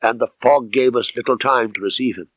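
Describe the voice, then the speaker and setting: Man acting a brisk, commanding detective on a muffled recording. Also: mid-range voice, dry recording, lightly acted. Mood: grave, composed. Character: brisk, commanding male detective, keen and masterful.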